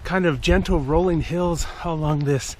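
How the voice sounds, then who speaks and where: A man's voice: a little high, normal speed, background noise. Upbeat man vlogging on a hike. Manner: casual, enthusiastic, talking while hiking. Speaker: vlog host. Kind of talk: hiking vlog to camera. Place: outdoors on a trail and in a canyon. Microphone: action camera held at arm's length.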